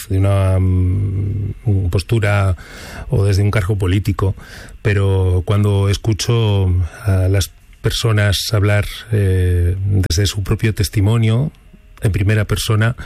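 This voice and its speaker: A man with a Spain Spanish accent interviewing on the radio in an earnest, conversational way, feeling out his phrases with pauses. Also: fairly low pitch, vocal fry, a little mellow, dry recording.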